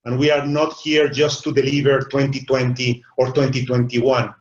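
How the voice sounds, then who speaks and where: A man's voice: mid-range voice, flat delivery, normal speed. A middle-aged man with a Mexican accent speaking as a business panelist on a video call, earnest and often repeating small words as he builds his points. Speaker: webinar panelist, business executive. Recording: echoey room over a dull, lo-fi line.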